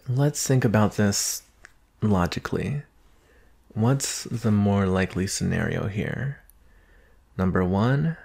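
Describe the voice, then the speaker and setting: Slow, low, gravelly ASMR boyfriend, acted by a man. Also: full character voice. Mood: playful, casual. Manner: gentle, affectionate, musing with long pauses. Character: doting boyfriend, soft-spoken, teasing, analytical former forensics student. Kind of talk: intimate ASMR roleplay acting.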